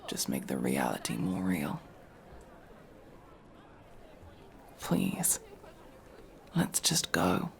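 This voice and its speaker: A man playing a guarded, world-weary elf mercenary, centuries old but young-looking, in an intimate, brooding ASMR fantasy roleplay with a breathy, gravelly, halting delivery. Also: rumbling low, sing-song, full character voice. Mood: quietly resigned. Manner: brooding, halting, often dropping to a whisper.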